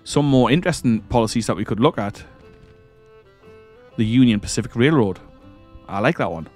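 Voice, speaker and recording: A man with a cold hosting a strategy game let's-play, his voice croaky as he rambles and corrects himself while clicking through menus. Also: medium pitch, expressive, normal speed, faint music behind. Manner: rambling, hesitant, self-correcting. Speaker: gaming channel host. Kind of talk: casual let's-play commentary.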